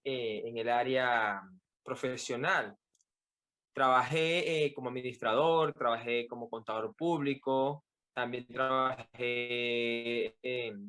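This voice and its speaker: A Venezuelan man with a mid-pitched voice gives a personal account as a podcast guest. His accent is Venezuelan. He is reflective and somewhat halting, repeating words while he finds the next thought. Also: fairly bright tone.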